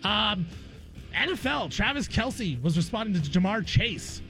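A man's voice: sits high, big pitch swings, a little slow, bright, forceful tone, soft background music. A man hosting a sports talk show, chatting casually and repeating phrases for emphasis. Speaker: sports talk radio host.

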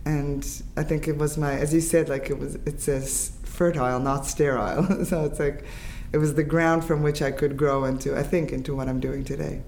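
Woman in her fifties, grew up in Israel, English first language, halting reflective speech, light ambient noise, interview guest. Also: sits low, flat delivery, darkish tone. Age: 50s. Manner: halting, restarts and fillers, reflective. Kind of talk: conversational interview.

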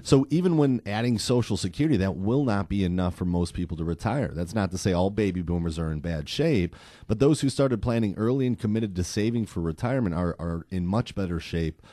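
A man's voice: low-pitched, fairly quick, dark tone, dry recording, studio quality. A man co-hosting a radio show, laid-back and reading out figures. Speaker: radio co-host. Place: radio studio.

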